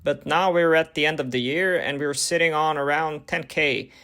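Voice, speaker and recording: A young man whose first language is Croatian, hosting a casual question-and-answer video and chatting in a relaxed way full of fillers. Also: fairly high pitch, normal speed. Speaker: YouTube channel host. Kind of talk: casual talk to camera, Q&A.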